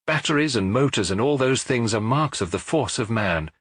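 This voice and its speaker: Man voicing a haughty, husky young British woman. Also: medium pitch, lively intonation, fairly bright tone, no room sound, studio quality. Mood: lofty, defiant. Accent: British English. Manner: lofty, brisk, emphatic. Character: haughty, fiery young modern working woman.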